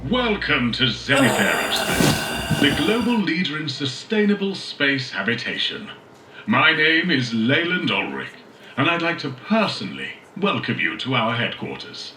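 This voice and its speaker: An actor playing a polished corporate leader delivering a grandiose, salesmanlike, welcoming promotional message. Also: male voice, mid-range voice, big pitch swings, measured pace, background noise. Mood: grand, welcoming. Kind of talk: scripted corporate promotional narration. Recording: echoey, distant announcement over loud music.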